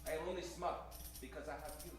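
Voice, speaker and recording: A woman playing an earnest, halting Russian male scientist. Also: deep voice, steady tone, soft background music, close to an everyday voice. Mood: earnest, intense. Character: earnest, boastful Russian male scientist, spouting muddled jargon. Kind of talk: improvised old-time radio comedy acting. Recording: very echoey stage.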